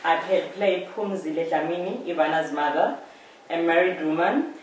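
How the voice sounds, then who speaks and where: A woman's voice: fairly low pitch, moderate pace, lo-fi sound. A woman with a South African accent, an amateur actor, speaking in short phrases in an interview. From Khayelitsha, South Africa. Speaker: amateur audio drama actor. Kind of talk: introducing herself and her role. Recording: echoey, distant live stream, faint noise.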